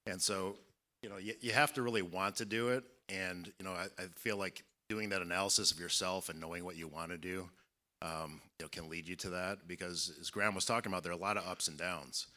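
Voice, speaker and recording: A man in his fifties speaking as a panelist at a conference, measured and reflective, with many fillers. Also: sits low, fairly even intonation, bright, forceful tone. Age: 50s. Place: conference hall.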